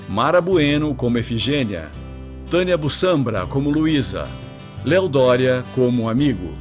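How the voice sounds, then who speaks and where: A Brazilian man delivers a scripted radio announcement. His mid-pitched voice moves in lively swings over clear plucked guitar music.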